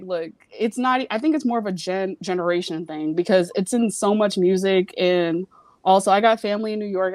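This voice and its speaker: A woman's voice: mid-range voice, a little mellow, dry recording. A young woman from Virginia with Jamaican family, calling in to a casual chat show and talking frankly with lots of fillers and some swearing. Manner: rambling, frank, swears freely, many fillers. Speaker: call-in guest. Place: home.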